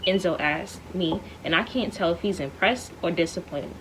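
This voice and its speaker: A woman reads a children's novel aloud at home, slightly lower in pitch and livening her voice for each quoted line. Faint room noise sits behind her. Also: moderate pace, poor audio. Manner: lively, lifts her voice for quoted dialogue.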